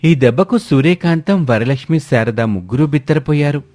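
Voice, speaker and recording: A man's voice: fairly high pitch, lively intonation, normal speed, dark tone. A man narrating a scripted family story as a dramatic storyteller.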